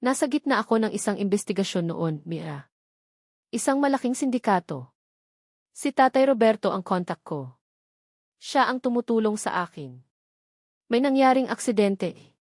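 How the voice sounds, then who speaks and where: A woman's voice: a little high, lively intonation, normal speed, long pauses, a little mellow, no echo. A desperate husband , acted by a woman, defends himself in an audio love drama. He sounds pleading and guilty, in a dry recording with little room sound. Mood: strained, explaining.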